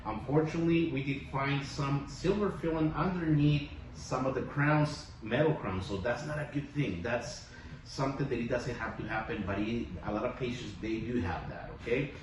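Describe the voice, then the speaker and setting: A man's voice: medium pitch, moderate pace. A man, a dentist from Mexico with a Mexican Spanish accent, chatting casually with a patient and filling his talk with "okay?" and "you know?". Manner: friendly, rambling, tag questions like "okay?" and "you know?". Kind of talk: casual clinic testimonial chat. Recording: echoey room, far from mic, audible noise.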